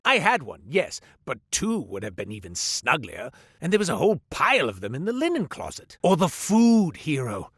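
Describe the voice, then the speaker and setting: A man's voice: fairly high pitch, vocal fry, fairly bright tone, no echo, clean studio sound. A man doing a theatrical rambling merchant. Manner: theatrical, rambling storyteller with comic asides. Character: chatty eccentric male merchant telling tall tales. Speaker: game character merchant. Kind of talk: scripted game voice lines.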